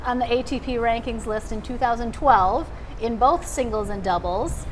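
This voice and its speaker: A woman with a fairly high voice hosts a casual interview. She is chatty and informal, quick to affirm what she hears. Some outdoor ambient noise sits behind her. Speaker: interview show host.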